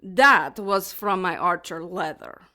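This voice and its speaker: An actress playing a taunting, smugly sadistic female demon in an audio drama, making mock-polite threats with deliberate menace. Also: fairly low pitch, pushed and bright, exaggerated voice.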